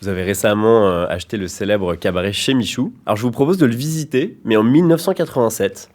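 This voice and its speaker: A male interviewer with a lowish voice puts his questions quickly and directly. He keeps his prompts short and moves the talk along. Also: darkish tone.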